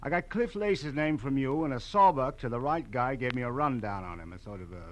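An actor playing a shady, slippery detective out for money in a 1940s radio crime drama, with slangy, needling, wheedling delivery. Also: male voice, medium pitch, expressive, fairly quick, a little hiss or hum, close to an everyday voice. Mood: smooth, evasive.

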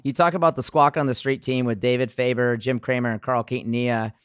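A man from the US West Coast hosts a podcast interview. His voice is smooth and resonant at a middle pitch. It is recorded close and dry, and he frames each question at length. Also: steady tone, fairly bright tone, dry recording. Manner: measured, asks long framed questions. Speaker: podcast host.

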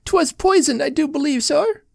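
A man reading a storybook and voicing a weepy old Irish woman with a high, quavering wail. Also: lively intonation, normal speed, creaky, a little mellow, no echo, studio quality.

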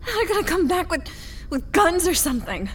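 A woman's voice: very high-pitched, very animated, moderate pace, slight background noise. An actress playing an exasperated, battered woman in an audio drama. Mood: exasperated, upset. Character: exasperated, battered woman rejecting her would-be rescuer. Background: music.

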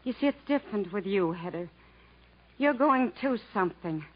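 A woman's voice: mid-range voice, animated, normal speed, natural voice. An actress playing a brave, tender woman in a 1950s radio drama, with restrained delivery in short phrases. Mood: wistful. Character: brave, tender woman stoically parting from her beloved. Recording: dull 1950s radio broadcast recording.

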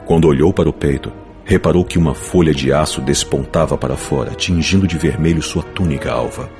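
Very deep-voiced male fantasy narrator. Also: brisk. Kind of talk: dramatic scripted narration of a fantasy novel. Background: music.

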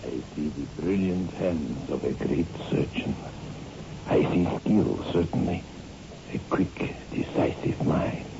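An actor playing an ominous, portentous occult palm reader pronouncing doom in a 1960s radio horror drama. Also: male voice, extremely low pitch, creaky, exaggerated voice. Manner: slow, portentous. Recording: muffled, noisy old radio recording.

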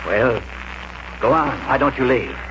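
A man's voice: mid-range voice, measured pace, close to an everyday voice. A man playing a large, fatalistic stone carver in a 1940s radio drama, insistent and portentous, with formal speech. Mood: insistent, ominous. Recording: muffled, noisy 1940s radio recording with hum.

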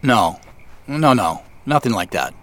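A man's voice: mid-range voice, lively intonation, measured pace, a bit bright. An actor playing an evasive, polite man caught snooping, hesitant and apologetic, in an audio drama. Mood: uneasy, defensive.

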